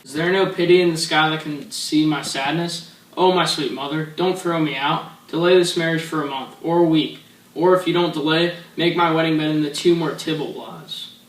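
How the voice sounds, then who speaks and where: A man's voice: a little high, fairly even intonation, moderate pace, natural voice. A man playing a desperate young girl. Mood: desperate pleading. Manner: pleading, emotional delivery. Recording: echoey room from far off.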